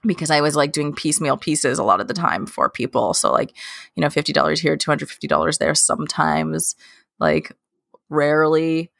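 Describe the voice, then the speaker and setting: A woman's voice: sits low, normal speed, vocal fry, fairly bright tone, dry recording, studio quality. A woman in her late twenties, talking as a guest in a casual interview, chatty and rambling with lots of fillers and restarts. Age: late 20s. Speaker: guest, K-pop journalist.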